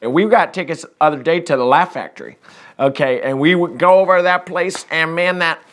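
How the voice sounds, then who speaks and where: A man's voice: a little high, fairly quick, lightly acted. A man voicing a boastful 29-year-old Colombian vice principal, in a put-on Colombian accent with broken English. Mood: excited. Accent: put-on Colombian Spanish. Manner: loud, proud, broken English.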